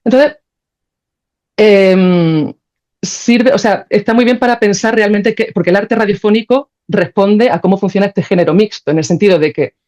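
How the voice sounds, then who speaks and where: A woman's voice: mid-range voice, moderate pace, darkish tone. A woman answering as a podcast guest, explaining ideas conversationally and thinking aloud, often checking that she is understood. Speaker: podcast guest, sound creator.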